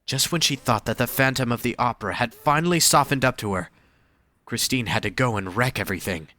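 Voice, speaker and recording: A man's voice: mid-range voice, fast, sharp tone, no room sound. A man reading scripted story narration as the narrator of an audio drama.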